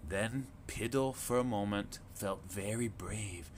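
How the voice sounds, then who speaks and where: A man reading a picture book aloud to children in a slow, clear, expressive storytelling manner. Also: on the low side, slight background noise.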